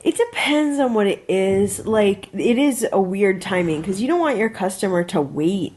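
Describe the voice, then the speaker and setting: A woman's voice: medium pitch, animated, normal speed, darkish tone. An American woman co-hosting a podcast, giving casual advice in an instructive, emphatic way. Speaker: podcast co-host.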